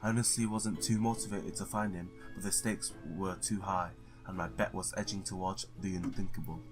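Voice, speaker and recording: Low-voiced man reading a game aloud. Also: a little fast, over music. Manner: stumbles over read text, blurts excited reactions. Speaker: gamer playing and reading a visual novel. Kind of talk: let's play, reading game text aloud with reactions.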